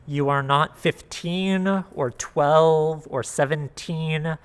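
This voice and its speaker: A man reads poetry aloud in a voice a little higher than most men's. He goes very slowly and measures out each short phrase, with pauses between them. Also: fairly even intonation, smooth. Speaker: guest reader of a poem. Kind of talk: poetry reading at a worship service.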